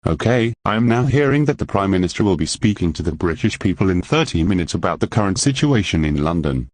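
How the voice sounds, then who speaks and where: A man's voice: low-pitched, sing-song, quick pace, dry recording. A man speaking as a news anchor giving a breaking news report in a fictional emergency scenario.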